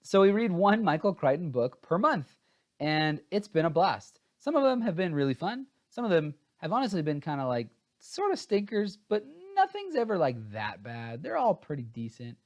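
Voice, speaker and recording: A man's voice: a little high, very animated, normal speed, dull audio. A man chatting casually as he hosts a book review, informal and a bit scattered and hesitant, sounding tired.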